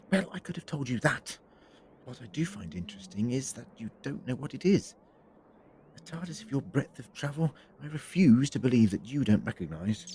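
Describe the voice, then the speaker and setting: An actor playing an eccentric, inquisitive time-traveller in an audio drama, muttering briskly to himself. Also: male voice, mid-range voice, noisy background, close to an everyday voice.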